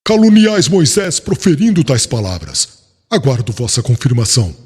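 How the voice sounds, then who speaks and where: A man's voice: mid-range voice, big pitch swings, moderate pace, darkish tone, husky. A man playing a proud, angry young doctor of the law, stern and pompous, in a dramatised audiobook. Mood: stern, accusing. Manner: stern, pompous, formal courtroom speech. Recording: long hall reverb, music underneath.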